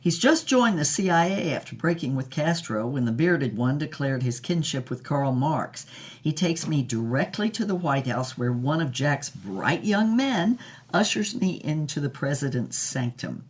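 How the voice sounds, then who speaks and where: A woman's voice: very deep voice, a little mellow, breathy edge, heavily acted. Theatrical older woman, an actress as worldly film diva. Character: worldly old former movie actress, frank, theatrical raconteur. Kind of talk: dramatised interview, storytelling monologue.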